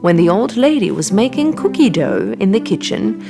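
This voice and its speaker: A woman's voice: mid-range voice, sing-song, moderate pace, gravelly. A woman narrating a scripted children's story over music.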